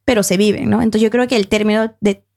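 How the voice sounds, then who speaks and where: A young woman with a slightly gravelly, fry-tinged voice. She explains ideas thoughtfully, pausing on fillers and correcting herself as she goes. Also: mid-range voice, steady tone, darkish tone. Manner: thoughtful, hesitant, many fillers and self-corrections. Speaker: panel guest. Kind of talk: academic discussion.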